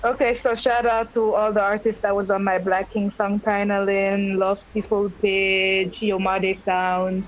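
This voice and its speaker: A woman's voice: medium pitch, level tone, a little slow, hardly any pauses, poor audio. A woman singer as a guest on a radio interview, chatting casually with hesitant restarts. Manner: chatty, hesitant restarts, giving shout-outs. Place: remote line from home, Austria.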